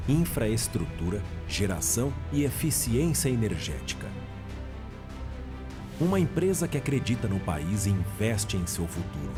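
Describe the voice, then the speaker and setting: A man with a low voice reads a corporate promotional script over clear background music. His delivery is measured and emphatic, with a lively rise and fall. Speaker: corporate video narrator.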